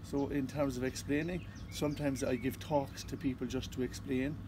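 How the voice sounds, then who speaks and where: Irish man, calm and unhurried, reflective interview answers, clear background noise outdoors. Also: mid-range voice. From Ireland. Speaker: psychotherapist and constellations facilitator.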